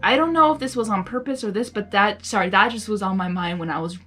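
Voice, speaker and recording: A woman reading fan fiction aloud as an amateur, excitable and giggly, mixing narration with casual reactions. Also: medium pitch, fast talker. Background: music.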